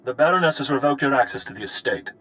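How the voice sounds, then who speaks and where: An actor playing a stern, blustering estate guard commander in a video game, barking officiously. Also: male voice, mid-range voice, lively intonation, fast talker, a bit bright, close to an everyday voice. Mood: stern warning.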